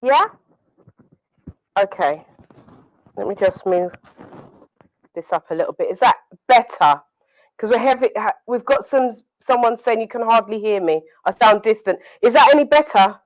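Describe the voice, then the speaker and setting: A woman's voice: a little high, expressive, moderate pace, pauses often, low-quality recording. A woman with a British accent hosting a live online talk show over a video call, laughing often and talking straight to her viewers. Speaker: host.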